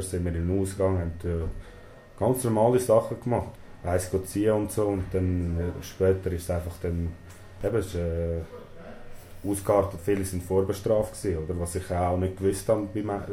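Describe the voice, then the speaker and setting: A man's voice: sits low, fairly even intonation, a little fast, dark tone, slight background noise. A young man in his twenties from Switzerland with a Swiss German accent, his first language Serbian, answering interview questions hesitantly with many fillers and tag questions. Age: 20s. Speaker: prison inmate interviewee. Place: small storeroom in a prison. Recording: echoey room with faint ambience.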